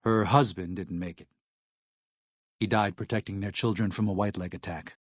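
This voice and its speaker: A man playing an earnest, weary missionary medic in a video game, protective and anxious yet firm, speaking in a measured, serious, moralizing way. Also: low-pitched, steady tone, no room sound, studio quality. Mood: grave. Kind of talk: video game character dialogue.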